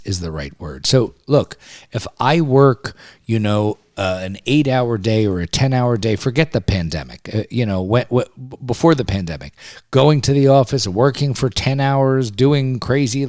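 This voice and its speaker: A man's voice: fairly low pitch, a little mellow, no echo, clean studio sound. A man hosting a podcast, talking emphatically and at length in a casual chat. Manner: emphatic, talkative, with many restarts. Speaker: podcast host.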